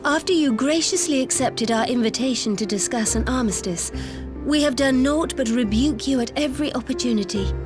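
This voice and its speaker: An actress playing a gracious young diplomat in a video game, speaking formal, composed, conciliatory lines. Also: female voice, sits high, brisk, music in the background, lightly acted. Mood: apologetic. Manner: courteous, composed.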